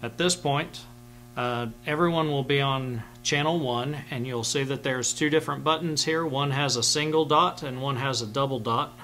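Middle aged guy doing a casual how-to, step by step, normal pitch, bit of hum in the background. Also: normal speed, sharp tone. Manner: plain-spoken, step-by-step explaining. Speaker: instructor. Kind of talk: informal instructional demonstration to camera. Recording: clear background hum and noise.

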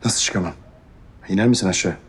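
A man's voice: low-pitched, a little fast, breathy edge. An actor playing a short-tempered young police inspector who talks to his wife in clipped, scolding orders, in a TV drama. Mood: stern. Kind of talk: TV drama acting. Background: music score.

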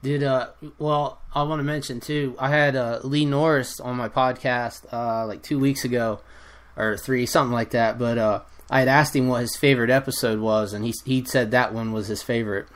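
A man hosting a podcast, asking questions in a halting, casual way with "uh" pauses. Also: medium pitch, fairly even intonation. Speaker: podcast host. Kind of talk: casual interview chat.

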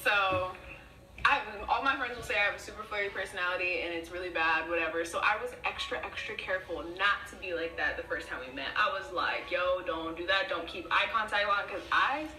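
A young woman casually telling a story with a playful, animated, cursing manner, heard from a video being played back. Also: fairly low pitch, brisk. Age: young adult. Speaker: vlogger. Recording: echoey far-off video playback with music.

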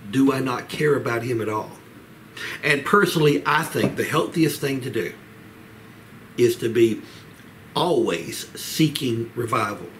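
A man, teaching the Bible as a pastor in a measured, earnest way with frequent pauses, seated in a small room a little way from the mic. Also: medium pitch, lively intonation, fairly bright tone, poor audio. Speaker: pastor leading a Bible study. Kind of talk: Bible teaching, expository sermon. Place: small home office, indoors. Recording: clear background room noise.

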